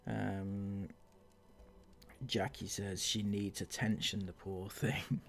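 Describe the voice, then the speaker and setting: Slow-talking sarcastic man. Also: on the low side, level tone, creaky, slight background noise. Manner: sarcastic, laughs often, drawn-out delivery. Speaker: commentary channel host. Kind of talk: reading out and mocking viewer comments.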